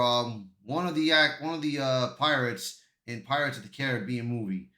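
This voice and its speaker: An echoey room surrounds this man's voice, which sits at an ordinary middle pitch. He works through amateur news commentary as a host, reading aloud and reacting, often tripping over words and starting them again. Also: a bit bright. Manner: halting, stumbling delivery with repeated words. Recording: echoey, roomy sound.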